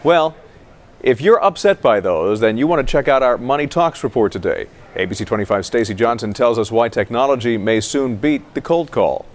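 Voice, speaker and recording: A man's voice: medium pitch, animated, normal speed. A man with an American accent reading scripted television news as an anchor.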